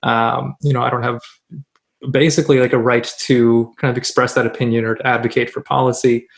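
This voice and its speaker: A man's voice: medium pitch, flat delivery, brisk, creaky. A man from the Philippines, raised in the United States, speaking as an author guest in a panel conversation, thoughtful and full of fillers.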